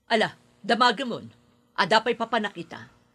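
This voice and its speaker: A woman playing a greedy, scheming lady behind a kidnapping in a radio drama, sharp and scornful. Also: mid-range voice, very animated, normal speed, breathy edge. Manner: sharp, scornful, snapping into commands. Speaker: madam of the house.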